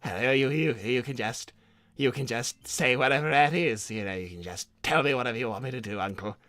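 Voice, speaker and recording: A man's voice: mid-range voice, fairly quick, a bit bright, no room sound, pitched below the performer's own voice, close to an everyday voice. A man voicing a meek, servile nephew of a cartoon villain, stammering and hesitant as he talks. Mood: eager, fawning. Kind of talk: improvised character livestream Q&A.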